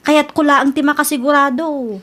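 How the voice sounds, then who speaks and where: Insistent, high-voiced lady moneylender played by an actress. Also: steady tone, a little fast, fairly bright tone. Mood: businesslike. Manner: businesslike, insistent. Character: firm, cautious woman moneylender setting conditions. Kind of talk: amateur radio drama acting.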